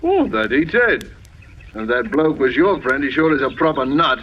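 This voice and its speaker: Man doing a gossipy country bloke, swingy lively voice, old radio play, muffled and a bit hissy. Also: mid-range voice, normal speed, lightly acted. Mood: gossipy, puzzled. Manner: colloquial, rambling storyteller. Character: chatty, folksy countryman witness, amused and gossipy. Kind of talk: 1940s radio drama acting. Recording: dull, slightly noisy old radio recording.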